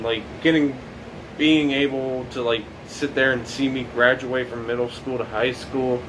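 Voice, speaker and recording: Slow, rambling teenage guy with faint hum. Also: medium pitch. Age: late teens. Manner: rambling, halting, many fillers and restarts. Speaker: documentary subject. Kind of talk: casual reflective monologue.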